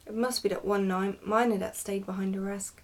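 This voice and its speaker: A woman's voice: medium pitch, fairly even intonation, brisk, recorded from a distance, close to an everyday voice. Matter-of-fact goblin mother read by a woman. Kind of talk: storybook character reading in ASMR.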